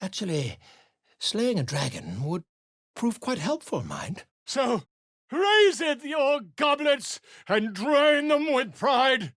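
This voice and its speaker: A man's voice: very high voice, wildly swooping pitch, slow, fairly bright tone, full character voice. An actor playing a flamboyant, chatty male bard in a video game, theatrical and self-important in his flourishes. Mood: grandiose. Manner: theatrical, grandiloquent, toast-giving flourish.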